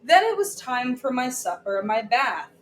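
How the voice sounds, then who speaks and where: A woman teacher reading a children's story aloud, deliberately, pausing to put questions to her listeners. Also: fairly high pitch, big pitch swings. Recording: echoey room with background noise.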